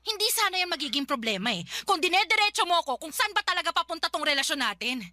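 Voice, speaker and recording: A woman's voice: very high-pitched, big pitch swings, fast talker, sharp tone, no echo, heavily acted. A woman acting a frustrated young woman in an emotional radio drama argument with her boyfriend. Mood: arguing, bitter. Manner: emotional, pleading and accusing.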